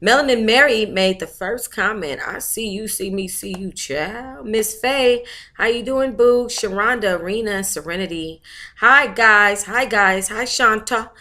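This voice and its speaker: American woman, livestream host, slow relaxed pacing, bright forward voice, familiar slangy chat, endearments. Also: mid-range voice. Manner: chatty, familiar, slangy, uses endearments like honey and child. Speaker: book club livestream host.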